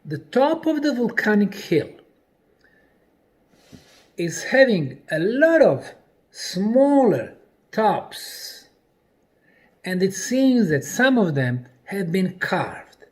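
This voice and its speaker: A man presenting in a lecture style, deliberately, in short separated phrases with emphasis on key words. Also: sits high, big pitch swings, darkish tone. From Bosnia. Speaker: presenter and researcher.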